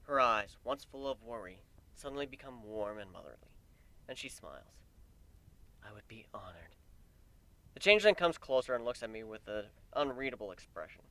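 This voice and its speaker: A man's voice: medium pitch, animated, fairly quick, bright, forceful tone, clear, resonant tone. A man reading first-person fan fiction aloud as dramatic scripted narration. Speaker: amateur story reader.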